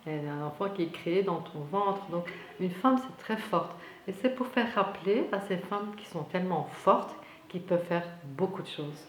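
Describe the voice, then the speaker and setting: A woman's voice: on the low side, animated, normal speed, slight background noise. A woman, speaking as a group member in a documentary interview. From Belgium. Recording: roomy echo, faint music underneath.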